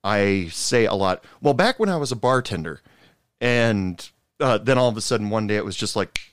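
A man's voice: fairly low pitch, lively intonation, moderate pace, a little hiss or hum. A man hosting a podcast, chatting loosely and circling back to reword his questions. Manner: rambling, restarts questions, laughs often. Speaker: podcast host. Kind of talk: casual interview chat.

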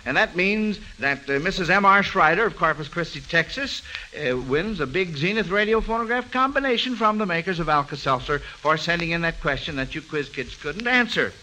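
Bright, high-voiced American radio quizmaster, male. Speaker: quiz show host. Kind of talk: 1940s American radio quiz show hosting. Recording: noisy, hissy old radio broadcast recording.

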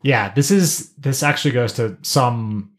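A man's voice: medium pitch, a little slow. A man hosting a podcast, talking casually about pop culture and thinking aloud in a rambling way. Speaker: podcast host.

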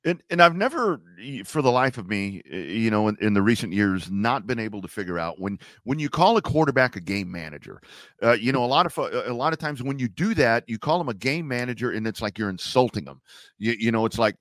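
A man's voice: medium pitch, sing-song, fairly quick, a bit bright, no room sound. A man co-hosting a sports podcast, talking loosely, with many stammered restarts and frequent swearing. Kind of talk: casual sports talk.

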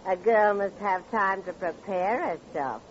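Muffled vintage radio sound with slight noise. An actress plays a vain, coquettish divorced woman in a crime drama. She speaks in a mid-pitched voice, mannered and flippant, coyly affected in every phrase. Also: measured pace, natural voice. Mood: coy, preening.

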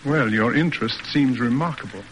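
Man acting forceful, stern old-radio father. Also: mid-range voice, fairly quick, in a large hall, a little hiss or hum, close to an everyday voice, low-quality recording. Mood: pointed, formal. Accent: American. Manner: formal, emphatic, declamatory. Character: stern, pompous, exacting middle-aged father, proud and demanding. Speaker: actor narrating and playing the father. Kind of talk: classic American radio drama acting. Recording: old radio broadcast recording.

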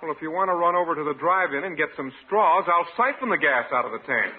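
An actor playing a wisecracking, weary young service station owner, sardonic and needling, in an old-time radio comedy. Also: male voice, a little high, animated, quick pace, close to an everyday voice. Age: young adult. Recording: tinny vintage radio, faint noise.

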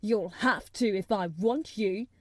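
A woman's voice: medium pitch, slow pace, darkish tone, no echo, clean studio sound. A woman voicing a spoiled, self-pitying young invalid boy in a storybook, demanding and petulant. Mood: angry, sneering. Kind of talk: audiobook character voice.

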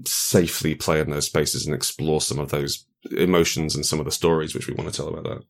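A man's voice: very deep voice, flat delivery, fairly quick, creaky. A man chatting casually as a guest game designer, often restarting his phrases as he thinks aloud. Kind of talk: casual chat about role-playing games.

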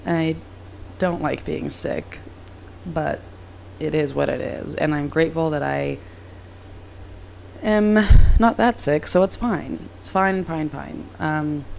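A young woman hosting her own podcast, hoarse and raspy from a cold, chatting in a rambling, self-deprecating way. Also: low voice, a little mellow, a little hiss or hum. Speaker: podcast host. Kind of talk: casual solo podcast chat. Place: moved from Reno to LA, recording at home.

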